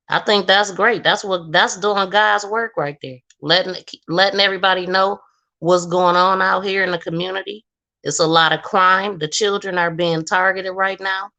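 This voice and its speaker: A woman from Ohio with a bright, ringing voice, talking bluntly and laughing easily as a guest in a casual conversation. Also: on the low side. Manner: blunt, outspoken, laughs often.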